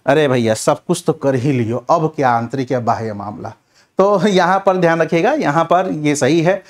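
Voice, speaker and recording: Higher-pitched man teaching. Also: moderate pace. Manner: instructive, repeats check phrases like a classroom teacher. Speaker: exam coaching teacher. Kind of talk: rapid question-and-answer revision lecture.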